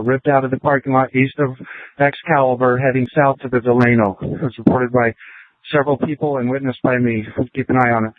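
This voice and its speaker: A man's voice: mid-range voice, dry recording. A police officer, a man, giving a terse report over police radio on a tinny scanner recording.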